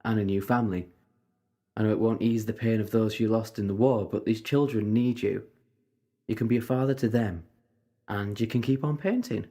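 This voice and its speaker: A man's voice: on the low side, steady tone, moderate pace, warm tone, close to an everyday voice. A man playing a kindly, assured time-travelling adventurer in an audio drama, reassuring and breezily understated. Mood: gentle, reassuring.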